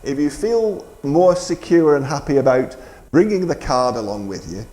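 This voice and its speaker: An animated male community radio presenter, speaking live from an echoey stage. His pitch swings freely as he chats and jokes his way through appeals and interview questions. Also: moderate pace. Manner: jokey, chatty. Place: radio studio stage in an indoor market.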